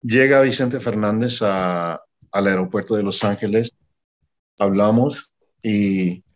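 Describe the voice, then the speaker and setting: A man's voice: fairly low pitch, takes it slowly, highs cut off. A man from Mexico, a music promoter, telling stories from his career in an interview and acting out the conversations. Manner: animated anecdote-telling, acting out dialogue. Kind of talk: storytelling in an interview.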